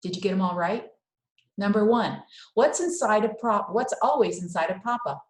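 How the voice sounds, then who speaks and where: A woman's voice: mid-range voice, moderate pace. A woman reading a children's story aloud expressively, as a teacher would to young listeners. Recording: echoey room sound.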